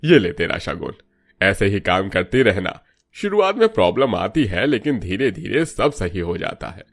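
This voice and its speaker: A man's voice: lowish voice, big pitch swings, normal speed. A man voicing an older father-in-law, hearty head of a household, in a cartoon story with an emphatic, patronising manner. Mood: pleased, approving. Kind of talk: Hindi cartoon story voice acting.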